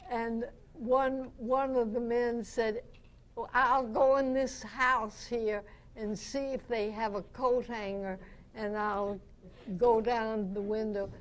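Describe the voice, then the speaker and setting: A woman in her nineties, a guest reminiscing in an unhurried, anecdotal way before a club audience. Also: a little high, steady tone. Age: 90s. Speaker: guest, veteran radio actress and singer. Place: club meeting room with audience. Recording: noisy live meeting-room recording.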